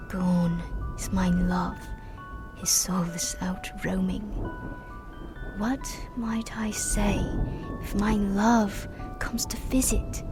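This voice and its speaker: Eerie, very slow-spoken solemn boy. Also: medium pitch. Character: eerie, solemn young boy reciting a mournful poem. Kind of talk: slow, haunting verse recital in gothic audio drama. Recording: music behind the voice.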